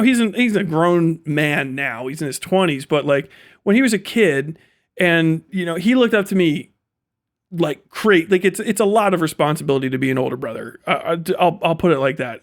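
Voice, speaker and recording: A man giving casual, opinionated livestream commentary in a blunt, profane, conversational way. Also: fairly high pitch. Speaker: streamer and commentator.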